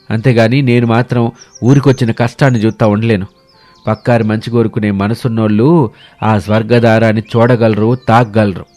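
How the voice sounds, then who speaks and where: A man's voice: medium pitch, darkish tone, natural voice. A man voicing an earnest, selfless villager on a quest, rustic and righteous in manner. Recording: music bed behind the voice.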